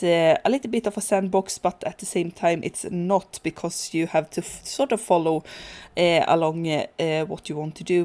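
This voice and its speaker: A woman whose first language is Swedish, casually talking through a survival video game with many pauses and fillers. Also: lowish voice. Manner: relaxed, hesitant, many fillers and restarts. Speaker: gaming channel host. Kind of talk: casual let's play commentary.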